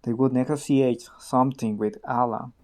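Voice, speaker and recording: A man playing a candid private security insider in a radio play, explaining events in a measured, matter-of-fact way. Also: mid-range voice, fairly even intonation, warm tone, close to an everyday voice.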